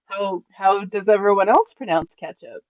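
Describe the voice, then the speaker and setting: A man from California and New Mexico chatting on a live stream while reading chat, halting with many restarts and frequent laughs. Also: sits high, no room sound, dull audio. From born in California, raised in New Mexico. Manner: laughs often, easygoing.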